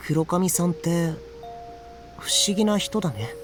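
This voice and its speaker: A man plays a gentle, polite schoolboy from a visual novel. His voice is fairly high and lively in pitch, with clear music behind it. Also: a little fast, lightly acted. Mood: mild concern.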